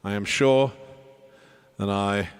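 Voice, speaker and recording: A man's voice: on the low side, fairly even intonation, takes it slowly, smooth. Man chatting in a put-on British accent. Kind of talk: casual stage banter to an online audience.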